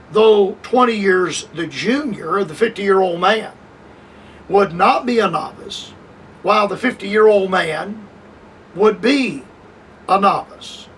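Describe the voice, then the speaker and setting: An older man giving a radio Bible lesson, measured and repetitive, restarting phrases with frequent hesitations. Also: high voice, fairly bright tone, distant mic, a little hiss or hum, rough recording. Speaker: radio preacher.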